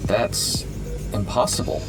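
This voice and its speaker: A man's voice: slow pace, lightly acted, low-quality recording. A man playing a cocky, skeptical superhero in an audio drama, talking flippantly. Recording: music behind the voice.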